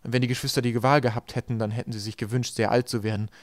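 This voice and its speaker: A man narrates an audiobook in a medium-pitched voice. He reads the scripted prose at a brisk clip, and the sound is close and dry. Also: flat delivery, no echo.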